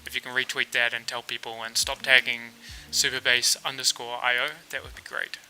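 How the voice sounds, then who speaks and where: A man's voice: medium pitch, a little fast, soft background music, low-quality recording. A man from New Zealand with a New Zealand accent hosting a casual live coding stream, thinking aloud with plenty of fillers as he works. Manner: relaxed, thinks aloud with fillers while working. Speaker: developer livestream host.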